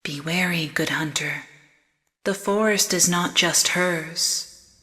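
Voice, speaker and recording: Living doll guide, female actor: gothic, soft-spoken yet crisp-edged, measured and calm, very unhurried, reverberant. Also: fairly low pitch. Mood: calm warning. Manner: soft, measured, solemn, uneasy undertone. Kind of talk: gothic audio drama acting. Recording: long ghostly reverb.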